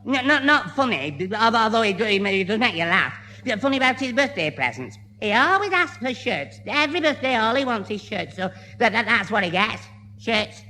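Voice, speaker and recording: A man's voice: high voice, very animated, a little fast, bright, forceful tone, exaggerated voice. An actor playing a dim, eager young man who stammers and corrects himself in a 1970s radio comedy. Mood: flustered. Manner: stammering, muddled, self-correcting. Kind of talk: 1970s radio sitcom acting. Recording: hissy old radio recording.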